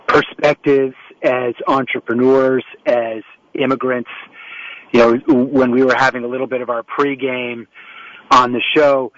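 A man's voice: medium pitch, a bit bright, dry recording. A man hosts an interview show from home over a remote line. He talks in a mid-range voice, circling back and restarting his sentences as he frames a question. The sound is dry and slightly muffled, with no room around it. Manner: rambling, with frequent restarts and fillers. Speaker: talk show host. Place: home setup in New York. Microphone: remote call setup.

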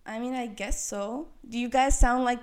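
A woman's voice: fairly high pitch, steady tone, moderate pace. A young woman from Chile co-hosting a podcast in casual, teasing chat, laughing often. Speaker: podcast co-host.

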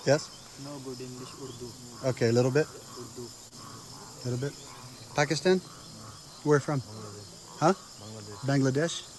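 A man reporting from the field, asking quick blunt questions outdoors at night. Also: medium pitch, a little mellow, slight background noise. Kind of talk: on-the-ground questioning.

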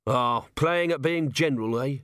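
A man's voice: mid-range voice, normal speed, fairly bright tone, clean tone, dry recording, pitched above the performer's own voice, close to an everyday voice. Man voicing a tired, stern watch commander, dry and clipped. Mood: weary. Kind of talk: audiobook character voice.

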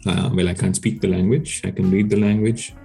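A man's voice: low-pitched, steady tone, fairly quick, vocal fry, warm tone, faint music behind. A man whose first language is Telugu, a guest researcher explaining his work in casual podcast chat, hesitant with many fillers and restarts.

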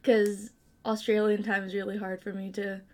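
A woman's voice: mid-range voice, little pitch movement, moderate pace. A woman hosting a casual podcast chat, asking curious questions in a chatty way. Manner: chatty, curious, many likes. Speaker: podcast host.

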